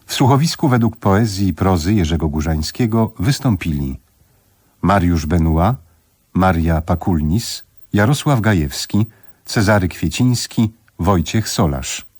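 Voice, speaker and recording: A man's voice: low voice, expressive, measured pace, darkish tone, slightly rough. A man reading a scripted radio announcement of closing credits as the announcer.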